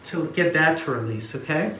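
A man's voice: on the high side, animated, moderate pace, pushed and bright. A middle-aged man working as a chiropractor, instructing and explaining step by step as he treats clients. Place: small indoor treatment room. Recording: roomy echo, faint background noise.

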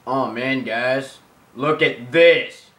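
A man's voice: mid-range voice, extremely slow, fairly bright tone. A young man giving casual, goofy game playthrough commentary, recorded on a camera in a room in front of a TV. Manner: goofy, makes nonsense sounds and sing-song. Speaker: gaming video host. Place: indoor room in front of a television. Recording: roomy, picked up near a TV playing music. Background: game music from the TV.

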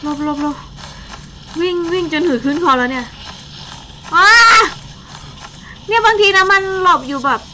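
High-pitched young woman, excitable gamer. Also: moderate pace, pauses often, heavy background noise. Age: young adult. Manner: excitable, exclamatory, muttering reactions while playing. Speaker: gaming show host. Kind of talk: casual gameplay commentary.

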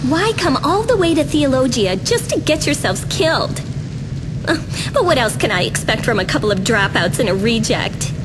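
A bratty young villainess in a game cutscene. Her voice is high and swings animatedly as she taunts, arrogant and cruel. Music plays clearly behind her. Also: very animated, moderate pace. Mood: mocking. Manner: taunting, haughty. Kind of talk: video game cutscene acting.

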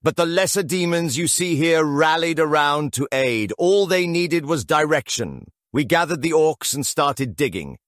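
A man voicing a scheming, obsequious demon lord in a theatrical, shrill audiobook performance. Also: lively intonation, measured pace, few pauses, a bit bright, dry recording, studio quality. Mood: pleading, fawning. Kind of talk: audiobook character acting.

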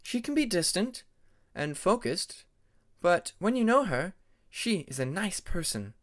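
A man voicing a friendly, gently teasing young female sea dragon in an audiobook, with a light, animated delivery. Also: high-pitched, a little slow, pitched above the performer's own voice, exaggerated voice. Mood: gentle, friendly.

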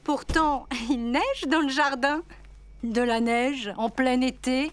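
A woman voicing an affectionate, cheerful grown-up female bear in a preschool cartoon, gentle and expressive. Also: high-pitched, slow pace, a little hiss or hum. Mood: delighted. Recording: music under the voice.

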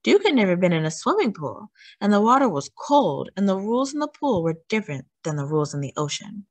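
A woman reading a children's storybook aloud as a storytime host, with expressive, emphatic delivery. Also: mid-range voice, dry recording. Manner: animated, emphatic delivery with drawn-out words.

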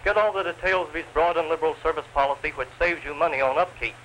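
A man's voice: fairly high pitch, quick pace, bright, forceful tone. A man narrating a 1930s newsreel advertisement in a declamatory salesman's delivery. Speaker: promotional film narrator. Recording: noisy 1930s film soundtrack.

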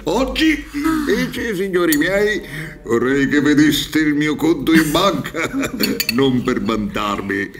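A man's voice: on the high side, sing-song, slow. An actor playing a jovial, bragging travelling textile salesman, effusive and chuckling, in a radio play. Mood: boastful. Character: boastful, jovial travelling textile salesman, womanizing family man. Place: dark theatre stage. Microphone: studio microphone on boom arm.

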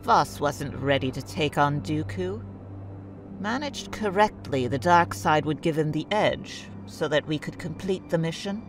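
A woman playing a sardonic, defiant former dark-side warrior in an audio drama, curt and insolent. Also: deep voice, animated, measured pace. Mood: guarded, curt. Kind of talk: fan audio drama acting. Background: music.

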